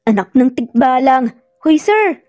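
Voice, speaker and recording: A woman's voice: high-pitched, lively intonation, a little slow, darkish tone, muffled recording, faint music behind. A woman with a Filipino accent voicing a guarded, hurt young woman who answers curtly and evasively in a narrated romance. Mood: startled.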